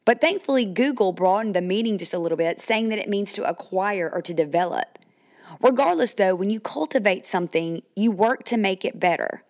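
A Southern woman in her thirties hosts her podcast, talking straight to listeners in a chatty, friendly way. Her voice is croaky from allergies. The recording sounds a little dull. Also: mid-range voice, expressive, fairly quick. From Mississippi, US. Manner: chatty, says "y'all", addresses listeners directly. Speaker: podcast host. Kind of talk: casual podcast monologue.

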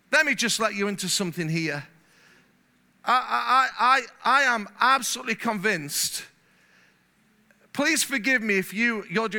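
A man from England preaching a sermon in church, animated, repeating words and getting louder as he warms up. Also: very high voice. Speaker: pastor. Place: church hall.

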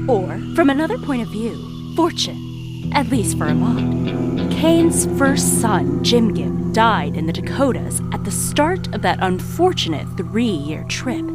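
An actress playing a wry, scheming female narrator character in an audio drama, teasing and theatrical with hushed asides. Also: medium pitch. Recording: studio audio drama with music underneath.

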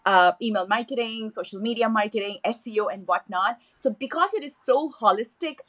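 A woman's voice: a little high, fairly quick, fairly bright tone. Eager woman podcast guest. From southern India. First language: Tamil. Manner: enthusiastic, with frequent fillers and restarts. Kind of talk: podcast interview.

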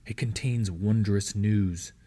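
A man voicing a secretive army captain in a storybook reading, speaking in a hushed, urgent manner. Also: on the low side, fairly even intonation, slow pace. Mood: barely contained excitement.

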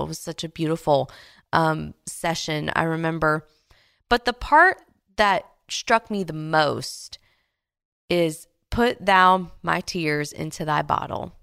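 A woman in her late twenties hosting a devotional podcast, speaking gently and earnestly with soft pauses, her voice a little stuffy from a cold. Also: fairly low pitch, clear, resonant tone, dry recording, clean studio sound. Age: late 20s. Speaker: podcast host. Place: Houston, Texas.

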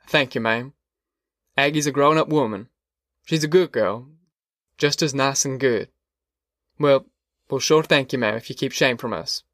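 A man's voice: mid-range voice, unhurried, pauses often, a bit bright, dry recording, clean studio sound, lightly acted. A man voicing an earnest, worried poor farmer and father in an audiobook, hesitant and polite, with a rural Southern American accent. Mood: grateful, earnest. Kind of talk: audiobook character dialogue.

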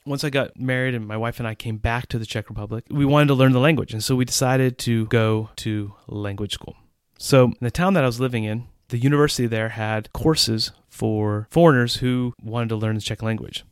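A man's voice: medium pitch, a little fast, smooth, no room sound, clean studio sound. A middle-aged man hosting a podcast, telling personal stories in an easygoing, self-deprecating way with frequent chuckles. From English-speaking country. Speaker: podcast host. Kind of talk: personal storytelling monologue.

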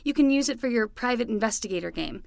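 A woman as a wry young nanny, easygoing and gently teasing in a comedy audio drama. Her fairly high voice has a gravelly fry to it, caught close and dry. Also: expressive, fast talker, dry recording.

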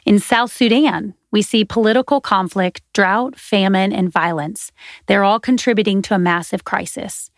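Woman podcast host with a gravelly edge. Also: mid-range voice, moderate pace, dry recording, studio quality. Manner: earnest, steady reading with faith language. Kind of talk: scripted podcast narration.

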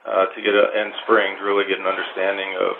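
A man giving measured, formal explanations in an interview as a park resource official, with hesitant phrasing, on a narrow phone-like line. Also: deep voice, completely flat delivery, creaky, background noise.

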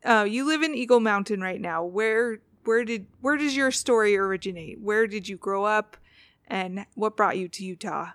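A woman's voice: mid-range voice, moderate pace, fairly bright tone, no echo. A woman interviewing a guest, asking curious questions and encouraging him as he talks. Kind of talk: casual interview.

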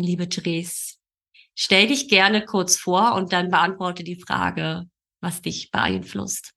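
Female podcast host, thirties, lower-pitched but bright, casual conversational delivery. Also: creaky. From Berlin, Germany. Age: 30s.

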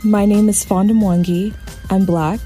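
A woman from Southwest Michigan reading a personal reflection in a thoughtful, steady way. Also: mid-range voice, a little slow, soft background music. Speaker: contributor.

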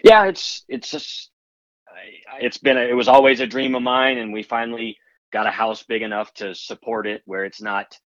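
A man being interviewed as a guest, explaining plant growing in a relaxed way with many restarts. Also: mid-range voice, normal speed, no room sound, muffled recording. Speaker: podcast guest, plant grower. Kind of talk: casual interview talk.

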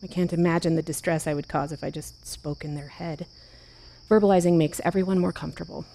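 An actress playing a composed but anxious, caring leader in a fiction podcast, speaking in a measured, earnest way. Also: female voice, low-pitched, steady tone, vocal fry, warm tone. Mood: calm, measured. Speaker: leader of a survivors' haven. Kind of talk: naturalistic fiction podcast acting.

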